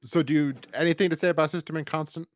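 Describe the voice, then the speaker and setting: A man's voice: fairly high pitch, steady tone, dry recording, studio quality. A man co-hosting a podcast, chatting casually about an audio drama in a rambling way, often restarting and repeating his words. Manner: rambling, halting with frequent false starts and repeated words. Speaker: podcast co-host.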